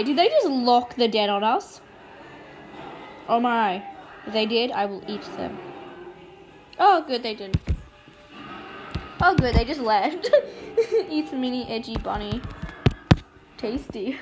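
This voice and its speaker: A woman's voice: a little high, poor audio. A woman giving casual, playful and silly gameplay commentary, reading out her roleplay as she goes. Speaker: video game streamer.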